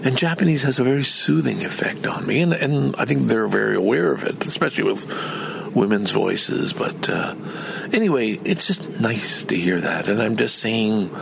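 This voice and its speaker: A man's voice: medium pitch, lively intonation, moderate pace, vocal fry, noisy background. An older man from Canada in a rambling personal vlog, meandering and chatty with many restarts. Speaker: vlogger.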